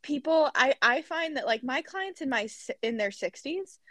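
A woman's voice: sits high, lively intonation, fairly bright tone, no echo. A woman co-hosting a podcast, chatting casually and joking around. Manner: joking, quick to laugh. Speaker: podcast co-host. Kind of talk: casual chat.

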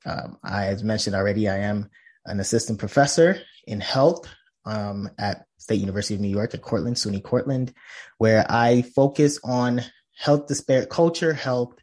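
A man's voice: on the low side, moderate pace, darkish tone. A man from Nigeria, a professor guest in a conversational interview, explaining earnestly with many fillers and restarts.

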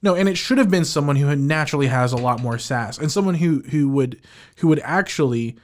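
Animated male podcast co-host. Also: a little high, brisk. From Filipino heritage, living in the United States. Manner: animated, stumbling restarts, laughs often. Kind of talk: casual movie review chat.

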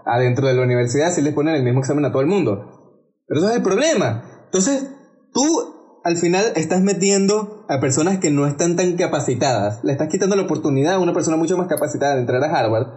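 A man's voice: a little high, expressive, fast talker, warm tone. A young man from Venezuela with a Venezuelan Spanish accent, co-hosting a casual podcast debate and often stumbling over words. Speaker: podcast co-host.

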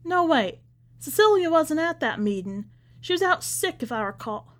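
A woman's voice: high voice, sing-song, moderate pace, voice pushed up. Woman acting a chatty, folksy church lady. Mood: guarded, pointed. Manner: chatty, folksy Southern-style church talk. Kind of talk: acted storybook dialogue.

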